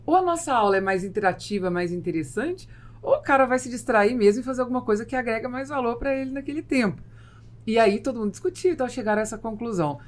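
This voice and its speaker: A Brazilian woman hosting a business podcast, asking long questions and telling lively anecdotes. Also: a little high, quick pace. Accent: Brazilian Portuguese. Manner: animated, tells anecdotes, asks long questions. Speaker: podcast host.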